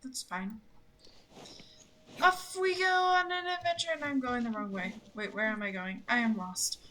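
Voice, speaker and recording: A woman's voice: on the high side, normal speed, pushed and bright, rough recording. A young woman chatting casually with a gaming partner, hesitant at times and playful. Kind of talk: casual gameplay chat. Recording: faint background noise.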